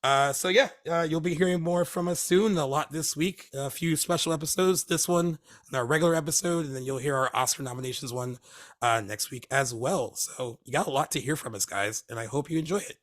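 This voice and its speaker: A man's voice: on the high side, brisk, fairly bright tone. A man co-hosting a movie podcast in casual chat, rambling with many fillers and restarts, his voice recovering from laryngitis. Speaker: podcast co-host. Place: Hermosa Beach, California.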